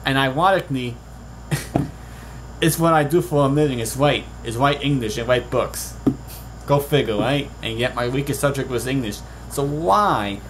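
A man's voice: mid-range voice, a little hiss or hum, rough recording. A young man with a Puerto Rican accent talking to a webcam in his bedroom, earnest and rambling, often restarting his sentences. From Puerto Rico. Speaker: author. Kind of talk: casual vlog monologue. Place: small bedroom. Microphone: webcam at desk.

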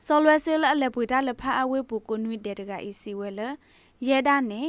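Female news reader, high register, scripted bulletin delivery, dry studio sound. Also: normal speed, clean tone, no echo. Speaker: radio news presenter.

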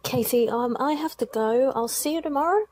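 Woman playing a polite young woman, mellow, higher voice. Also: moderate pace, no echo. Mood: grateful. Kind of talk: science fiction fan audio drama acting.

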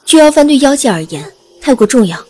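A woman voicing a bold, loyal young heroine in an audio drama, talking fast and high. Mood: earnest. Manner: quick, lively and high. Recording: long reverb, soft music behind.

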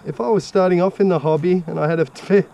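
A middle-aged man giving a relaxed, plain-spoken review of a racing drone in an open park, recorded on a camera mic. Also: high voice, fast talker, creaky, a little hiss or hum. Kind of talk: casual outdoor product review.

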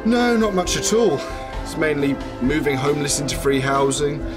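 A man's voice: fairly high pitch, expressive, moderate pace, natural voice. An actor playing a wry, charming policeman and bodyguard in a radio drama, a little mock-formal. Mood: playful. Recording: music behind.

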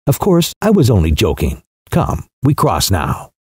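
A man's voice: lowish voice, wildly swooping pitch, measured pace, a little mellow, slightly rough, dry recording, studio quality, exaggerated voice. A man voicing a frank, talkative young woman who asks blunt, pressing questions. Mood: soft.

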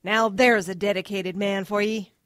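A woman's voice: mid-range voice, steady tone, moderate pace, no echo, clean studio sound, natural voice. A woman playing a no-nonsense, nagging working-class English mother in a radio drama. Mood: dismissive. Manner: brusque, nagging.

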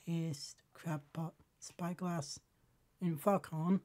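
A woman streaming a game live, hoarse from a cold and often clearing her throat, talking in slow, halting asides to herself. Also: deep voice, fairly even intonation, mellow. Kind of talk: casual live game commentary.

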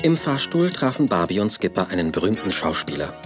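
A man's voice: deep voice, big pitch swings, fairly quick. A man narrating a scripted audio drama for children as the storyteller. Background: music.

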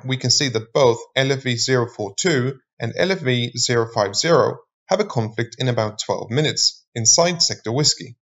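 Dull-sounding male narrator, formal and scripted. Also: medium pitch.

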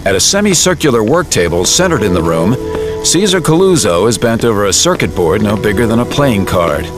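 A man's voice: on the low side, sing-song, brisk, over music. A man giving scripted, vivid storytelling narration for an audio drama.

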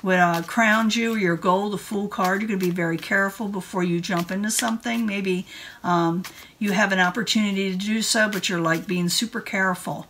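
A woman's voice: mid-range voice, fairly even intonation, brisk, fairly bright tone, slight background noise. A woman giving a casual tarot card reading in an informal, rambling way. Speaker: tarot reader. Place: indoor room at a table.

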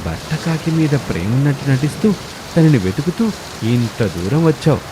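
A man's voice: mid-range voice, big pitch swings, normal speed, noisy background, lightly acted. A man voicing a scheming lover sparrow in a bird cartoon, with a dramatic, conspiring manner. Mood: gleeful admiration.

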